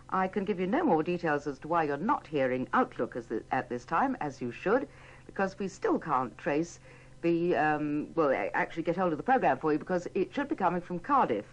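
A British woman announces live radio in a deep, lively, varied voice. She is polite and apologetic, and the archive recording sounds dull. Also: fairly quick. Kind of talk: live radio presenting, ad-libbed links.

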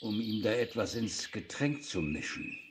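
A man's voice: on the low side, lively intonation, measured pace. An actor playing a shifty, irritable male schoolteacher in a radio play. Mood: apologetic, scheming. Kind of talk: hobby German radio play acting. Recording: faint noise with birdsong.

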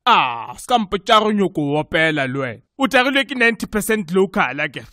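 Man voicing a high-voiced sarcastic South African cartoon sidekick. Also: sing-song, fast talker, bright, forceful tone, dry recording, clean studio sound, full character voice. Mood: scornful. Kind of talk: comedic cartoon dialogue.

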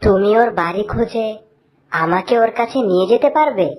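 A woman's voice: medium pitch, animated, moderate pace, darkish tone, close to an everyday voice. A woman voicing an earnest, polite young prince in a cartoon fairy tale, slightly declamatory.

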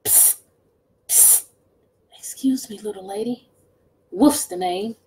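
A woman voicing a sly, starving wolf in a storybook, speaking in a hushed, conspiratorial way. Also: medium pitch, slow pace, breathy edge, recorded from a distance, poor audio.

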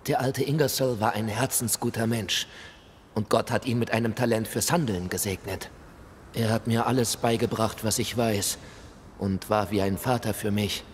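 Man playing a plaintive ghostly gentleman, long reverb. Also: on the low side, fairly even intonation, moderate pace, natural voice. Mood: wistful. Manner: formal, theatrical, plaintive. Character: embittered, polite male ghost of a colonial shop clerk. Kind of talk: video game dialogue acting. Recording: hall reverb, noisy ambience.

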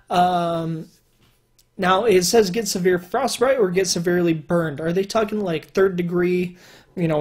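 A young man with a high-pitched voice that people on the phone often take for a woman's. He talks casually to a webcam from his room at home, rambling with fillers and restarts. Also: a little mellow. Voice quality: higher-pitched male voice, sometimes mistaken for a woman. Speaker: YouTuber. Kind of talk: casual solo commentary.

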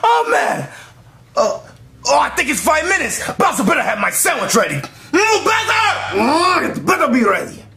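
A young man voicing a shrill, bossy male chef in an echoey home room. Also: wildly swooping pitch, moderate pace, gravelly, sharp tone, full character voice. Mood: giddy.

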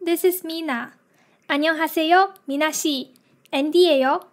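Very slow high-voiced woman reader. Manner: slow, evenly spaced delivery. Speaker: lesson reader. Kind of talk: slow reading of phrases and translations.

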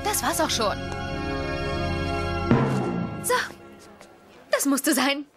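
A high-strung, proud young doctor in a sitcom dub. Her intonation swings in a lively way, testy and defensive, with prominent music behind her. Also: very slow, heavily acted. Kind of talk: German-dubbed TV sitcom acting.

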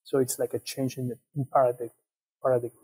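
A man in his thirties with an Ecuadorian Spanish accent, explaining research in an interview in a measured, slightly halting way over a video call. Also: medium pitch, level tone, mellow, breathy edge, poor audio. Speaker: guest, animal science PhD researcher. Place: empty classroom, indoors. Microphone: wired earphones on a video call.

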